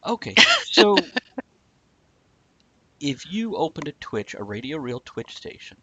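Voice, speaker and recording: A man's voice: on the high side, very animated, a little slow, fairly bright tone, husky. A man hosting a live-streamed talk show, chatting casually, explaining ideas eagerly and greeting viewers. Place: home streaming setup.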